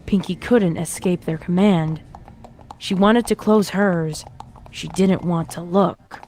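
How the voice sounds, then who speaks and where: A woman's voice: fairly low pitch, takes it slowly, a little mellow, slight background noise. A woman reading a horror story as its narrator, in a dramatic scripted style. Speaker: fan fiction narrator. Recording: music behind the reading.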